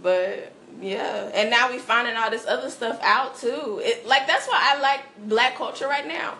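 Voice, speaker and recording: A young woman chatting casually on a podcast with her roommates, expressive and animated in how she talks. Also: fairly high pitch, moderate pace, slight background noise. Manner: animated, expressive, drops into quoted voices. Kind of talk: casual chat. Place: shared apartment.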